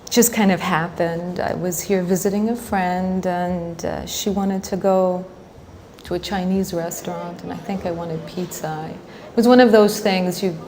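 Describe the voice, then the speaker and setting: Woman, forties, lowish voice, reflective interviewee, faint background hiss. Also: steady tone, unhurried. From Washington, D.C., USA. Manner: reminiscing, with soft hesitations.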